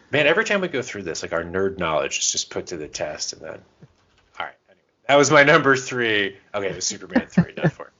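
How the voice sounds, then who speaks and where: A man with a very low voice chats casually as a podcast co-host. He is irreverent and pokes fun at himself. The audio is a little dull and lo-fi. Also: huge pitch swings, fairly quick, pushed and bright. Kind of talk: casual chat.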